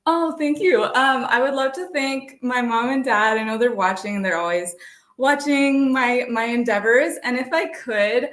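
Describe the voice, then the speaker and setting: A woman's voice: a little high, moderate pace, fairly bright tone. A woman, chatting informally as a guest playwright being interviewed on a call. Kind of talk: casual conversational interview answers. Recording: echoey room on a call.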